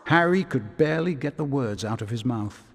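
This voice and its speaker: A man narrating a video game story like a storybook, in a dramatic, deliberate manner. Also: medium pitch, expressive, gravelly, big-room sound, soft background music.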